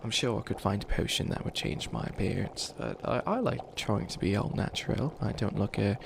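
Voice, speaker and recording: Man voicing a stammering, creaky young bunny witch. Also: lowish voice, animated, fairly quick. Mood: fussy, rambling. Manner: stammering, hesitant, defensive, rambling. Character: flustered 853-year-old youthful bunny witch, harmlessly wannabe evil. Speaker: ASMR roleplay performer. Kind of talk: comedic ASMR roleplay. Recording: clearly noisy ambience behind voice.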